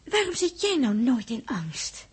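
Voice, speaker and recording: A young female crew member in a 1960s science fiction radio play. Her voice is high and swings widely in pitch, and she hesitates and repeats words, anxious but teasing. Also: big pitch swings, a little slow, slightly rough, heavily acted. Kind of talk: 1960s Dutch radio drama acting.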